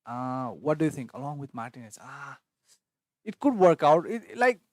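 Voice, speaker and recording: A man's voice: fairly high pitch, very animated, moderate pace, dry recording. Male streamer, Newar, hesitant and thinking aloud, dry booth-like sound. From Nepal. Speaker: fantasy football livestream host. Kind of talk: casual live Q&A reading chat.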